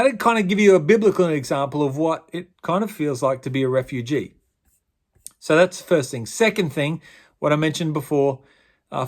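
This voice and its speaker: Relaxed pastor explaining tasks. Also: male voice, a little high. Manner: relaxed, conversational, with fillers and restarts. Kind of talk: instructional talk explaining an honour's requirements.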